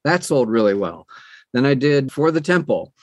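A man's voice: medium pitch, very animated, moderate pace, no echo. A middle-aged or older man chatting casually as an interview guest, telling stories and acting out bits of dialogue. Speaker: interview guest, audiobook narrator. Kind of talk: casual conversational storytelling.

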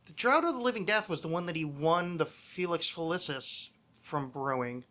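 A man's voice: on the high side, lively intonation, moderate pace, sharp tone. A man chatting casually as a podcast host, hesitant and thinking aloud, often self-critical and swearing freely. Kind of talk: casual chat, answering trivia questions.

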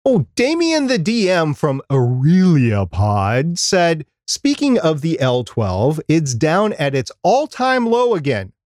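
A man's voice: a little high, wildly swooping pitch, slow pace, few pauses, darkish tone, dry recording, studio quality. A man co-hosting a podcast, giving practical advice in a casual, conversational way. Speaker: podcast co-host.

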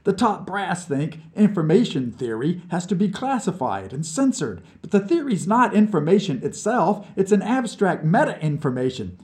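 A man's voice: high voice, big pitch swings, moderate pace, few pauses, darkish tone, pitched above the performer's own voice. A man voicing a cocky, tipsy male Soviet scientist who brags and gossips in a conspiratorial way. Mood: boastful. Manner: boastful, chatty, conspiratorial. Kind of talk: audiobook character voice.

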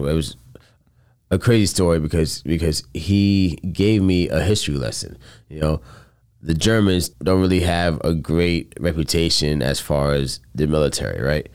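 A man with a strikingly deep, smooth, resonant voice recounts a story in relaxed conversation. He talks earnestly in loose, rambling phrases and drops casual swears along the way.

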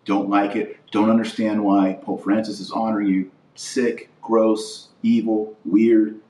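Deep-voiced indignant man in an echoey room. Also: little pitch movement, unhurried, mellow. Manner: indignant, blunt, emphatic repetition. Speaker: podcast host. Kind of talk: informal opinion commentary podcast. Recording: roomy echo, distant from the mic.

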